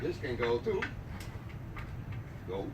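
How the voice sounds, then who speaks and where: A man's voice: mid-range voice, sing-song, slow, roomy sound, heavy background noise. A middle-aged man from the Netherlands with a Dutch accent, a clog maker joking and chuckling his way through a live craft demonstration in an indoor workshop. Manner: jokey, chuckling between remarks.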